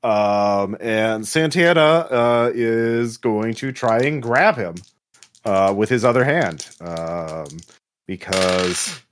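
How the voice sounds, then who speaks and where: A man running a tabletop horror game as game master, narrating casually and haltingly with sudden exclamations. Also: fairly low pitch, lively intonation.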